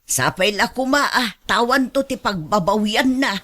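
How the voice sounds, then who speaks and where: A woman's voice: a little high, expressive, moderate pace, pushed and bright. An actress playing a fretful, fussing middle-aged mother in a radio drama, with an Ilocano accent. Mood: resigned.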